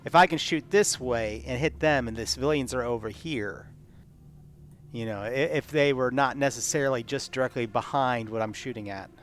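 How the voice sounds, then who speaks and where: A man's voice: mid-range voice, normal speed, a little hiss or hum. A man chatting casually as a player in a tabletop roleplaying game, thinking through his moves aloud. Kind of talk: casual chat while playing. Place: live online game stream.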